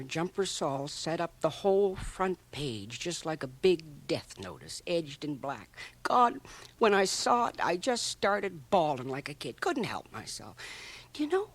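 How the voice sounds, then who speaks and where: A man's voice: fairly high pitch, exaggerated voice. A man playing a folksy, gossipy small-town barber with a Canadian accent in a radio drama, chuckling and dropping into confidential asides. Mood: earnest, mournful. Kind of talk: radio drama acting, rambling monologue. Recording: 1980 radio drama, home cassette transfer.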